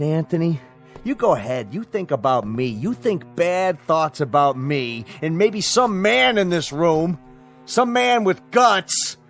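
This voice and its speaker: A belligerent drunk man in a radio drama, slurring out bitterness at a slow pace. He is sarcastic and reckless, with music playing behind him. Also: fairly high pitch, fairly bright tone, exaggerated voice.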